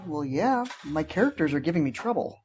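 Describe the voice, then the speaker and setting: A woman's voice: deep voice, sing-song, brisk, a little mellow, a little hiss or hum. A woman playing an earnest, scatterbrained writer who cooks up stories in a comic podcast skit. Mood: earnest, defensive.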